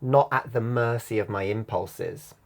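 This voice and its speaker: A man who grew up in Singapore, a comedian guest in casual podcast chat, animated and quick to swear and laugh. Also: medium pitch. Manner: animated, swears freely, breaks into laughing bits.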